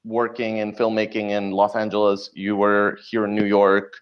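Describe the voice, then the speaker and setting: Male interview guest, slightly low pitch, casual storyteller, dull low-fidelity online recording. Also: monotone, normal speed. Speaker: podcast guest, audio drama creator.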